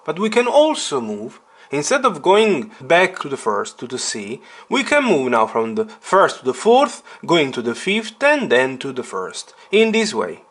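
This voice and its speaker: A man giving a step-by-step piano lesson, explaining deliberately and emphatically and putting questions to the learner. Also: fairly high pitch, huge pitch swings, fairly bright tone. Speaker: piano teacher. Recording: piano playing alongside the voice. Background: piano chords.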